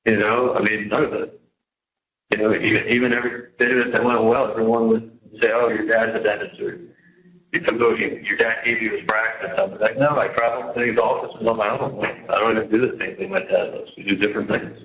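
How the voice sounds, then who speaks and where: An echoey jail phone line carries a man with a fairly deep voice. He talks casually and haltingly, circling back and repeating words as he vents about his situation. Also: flat delivery, crowd noise. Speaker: prisoner on a jail phone call. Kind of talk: casual, rambling personal phone conversation. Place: jail, on a telephone. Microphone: telephone handset. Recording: echoey jail telephone call.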